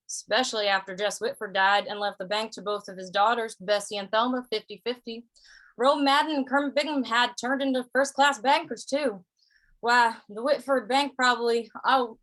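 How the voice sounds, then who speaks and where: Woman playing an amiable high-voiced cowboy storyteller. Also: fairly bright tone, close to an everyday voice, rough recording. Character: amiable, curious cowboy narrator, a man. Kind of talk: old-time western radio drama, folksy narration.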